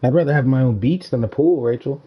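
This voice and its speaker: A man's voice: mid-range voice, fast, husky. A young man giving casual, slangy live commentary as he streams a video game. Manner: slangy, informal. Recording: slightly dull stream audio. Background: game music.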